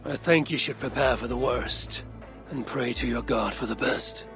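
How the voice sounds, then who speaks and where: A man playing a grim, determined Viking warrior in a video game, with a gravelly voice. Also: medium pitch, moderate pace, over music, natural voice. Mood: calm, probing. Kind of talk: video game cutscene acting.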